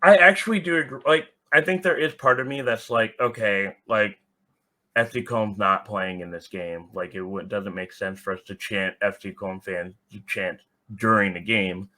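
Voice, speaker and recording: A man with a fairly low voice and a bright, punchy edge speaks as a podcast guest. His talk is candid and casual, full of "like", with blunt opinions and some swearing. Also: normal speed. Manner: blunt, swearing, heavy use of "like". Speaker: podcast guest, soccer supporters group organizer.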